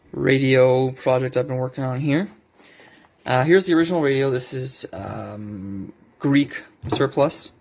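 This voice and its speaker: A man with a husky voice and frequent vocal fry talks casually through a hobby demonstration. He is unscripted and hesitant, often stopping to correct himself. It is captured on a handheld phone indoors. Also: medium pitch. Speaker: hobbyist video maker. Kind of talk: casual unscripted show-and-tell. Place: indoors at a desk. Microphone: handheld cell phone.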